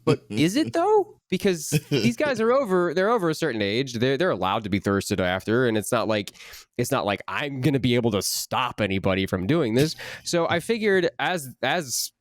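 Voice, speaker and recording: A young man, a voice actor, chatting casually in an interview, animated and full of restarts. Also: medium pitch, moderate pace, dry recording, clean studio sound. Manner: animated, many restarts, rising for emphasis. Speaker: voice actor guest.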